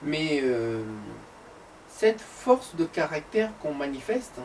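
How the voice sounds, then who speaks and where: A man from the DR Congo in a casual conversation who speaks in a measured, reflective way with hesitant pauses. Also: a little high, sing-song, a little mellow, a little hiss or hum. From Democratic Republic of the Congo. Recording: distant mic with faint ambience.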